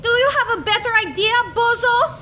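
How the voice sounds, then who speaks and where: A bratty little girl, acted by a woman, snaps and giggles through her schemes in a comedic audio drama. Her voice sits very high with a bright, cutting edge, and faint noise hums behind it. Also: a little fast. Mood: bickering. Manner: snappy, bickering, giggly.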